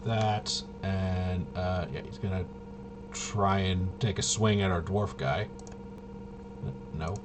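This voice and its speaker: A man's voice: low-pitched, steady tone, slow pace. A man giving casual gameplay commentary, thinking aloud and muttering to himself in a puzzled, self-questioning way. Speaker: player running a tabletop game demo. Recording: clearly noisy background.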